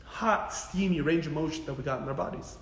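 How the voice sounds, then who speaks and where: A man giving casual, informal health advice as a physical therapist answering questions, in a blunt, jokey, animated way with emphatic repetition. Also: on the high side, brisk, background noise. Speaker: physical therapist hosting a live Q&A. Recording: echoey, reverberant room.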